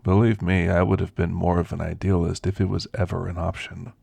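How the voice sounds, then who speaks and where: A man playing an elderly, weathered werewolf with a gravelly, husky voice, reminiscing in a wry, understated way in an ASMR roleplay. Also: sits low, little pitch movement, dark tone, no echo, full character voice. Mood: wistful, reflective. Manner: slow, understated, reminiscing storyteller. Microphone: close, dry microphone.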